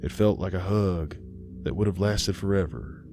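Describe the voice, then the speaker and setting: Male horror narrator: low pitch, dramatic folksy storytelling, music clearly underneath. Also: normal speed.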